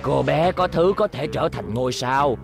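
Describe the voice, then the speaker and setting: Gravelly, scheming old scientist. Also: male voice, mid-range voice, expressive, normal speed, over music. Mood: sly coaxing. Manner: sly, coaxing, chuckling villain. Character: scheming old male scientist, sly and coaxing. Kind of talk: animated cartoon dub.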